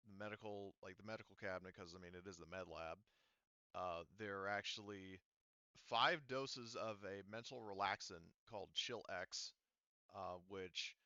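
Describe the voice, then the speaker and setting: Guy game mastering, lowish clear voice, rambles with lots of ums, bit dull lo-fi mic. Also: bright, forceful tone, no room sound. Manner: halting, filler-heavy, rambling explanations. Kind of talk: casual narration of tabletop game.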